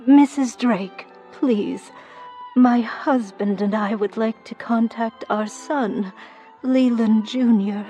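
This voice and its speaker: A woman's voice: on the high side, takes it slowly, mellow, soft background music. An actress playing an earnest, determined wealthy woman in a radio drama, speaking persuasively. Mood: pleading.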